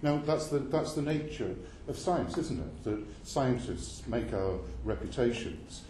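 A man's voice: medium pitch, animated, unhurried. A man questioning a witness at a parliamentary committee hearing, pressing and insistent, stumbling and restarting his sentences. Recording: roomy hall sound with faint noise.

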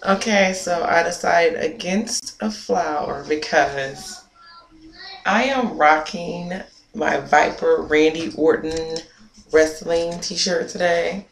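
A woman's voice: on the low side, takes it slowly, creaky. A young woman chatting casually to a webcam about her hair, playful and amused, sometimes dropping to a whisper. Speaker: hair vlogger. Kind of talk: casual vlog chat. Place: small indoor room. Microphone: webcam at close range. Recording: echoey room with faint noise.

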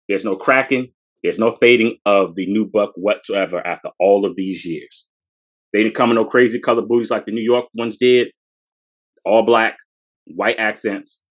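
A man in his forties, a sneaker podcast co-host, talks up a shoe from his collection in a hyped, slangy way, often repeating phrases, in a small room. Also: lowish voice. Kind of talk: casual sneaker showcase. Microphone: fixed camera at close range.

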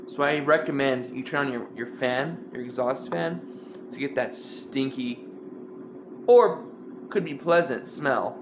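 A man's voice: mid-range voice, noisy background. A young man hosting a joking cooking tutorial in his home kitchen, talking offhand to the camera while he works. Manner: playful, offhand, talks to camera while working. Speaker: home cook host. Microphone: camera mic, wide-angle camera on counter.

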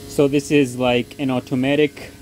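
A man vlogging outdoors at a historic site, chatting casually with many fillers and pauses as he films on a handheld camera. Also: medium pitch, flat delivery, a little mellow, faint music behind. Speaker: travel vlogger. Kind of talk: casual walking tour narration.

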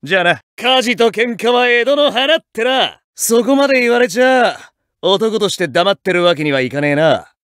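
Brash young samurai fighter: high, animated, rough street swagger, clean dry booth. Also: male voice, normal speed, no echo. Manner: cocky, rough Edo-style street talk. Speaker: game character voice. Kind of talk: fighting game voice clips. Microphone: dry studio mic.

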